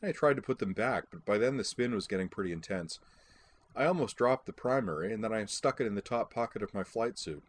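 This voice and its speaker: A man's voice: lowish voice, lively intonation, a little fast, slightly rough. A man voicing a level-headed woman pilot in a storybook, with a dry, questioning, matter-of-fact manner. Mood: earnest.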